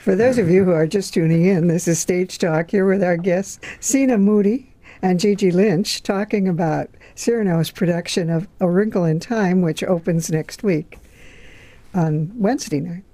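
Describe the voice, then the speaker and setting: A woman's voice: lowish voice, normal speed, mellow. A woman co-hosting a casual talk show, responding to guests in a brief, encouraging way. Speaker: radio talk show co-host. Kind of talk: casual interview.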